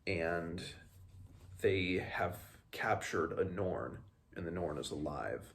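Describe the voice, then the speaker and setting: A man in his twenties recapping a book and reading passages aloud, somewhat halting as he retells it. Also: low voice. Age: 20s. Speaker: book reviewer. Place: small room at home, indoors.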